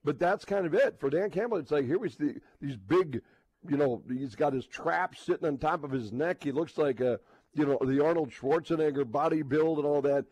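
A man hosting radio sports talk, thinking aloud in a casual, halting, rambling way with repeated words. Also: fairly high pitch, darkish tone, breathy edge, no echo. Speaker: sports radio host, live on location.

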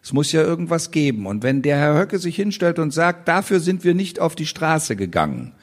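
A man's voice: fairly high pitch, sing-song, normal speed. A man in his sixties, a guest at a public panel talk, speaking passionately and emphatically, with indignant outbursts. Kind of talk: animated stage conversation. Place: public event in front of an audience.

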